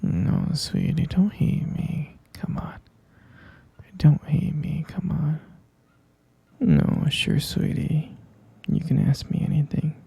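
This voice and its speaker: A man playing an obsessive, tender but menacing kidnapper boyfriend in an ASMR roleplay, cooing and coaxing in a breathy, husky whisper. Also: on the high side, huge pitch swings, mellow, dry recording, heavily acted. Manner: cooing, coaxing, slow and intimate.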